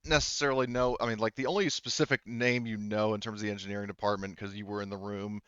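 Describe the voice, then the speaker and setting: A man running a tabletop roleplaying game as game master, narrating off the cuff and haltingly thinking aloud. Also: on the low side, fairly even intonation, a bit bright, smooth, no echo, lo-fi sound.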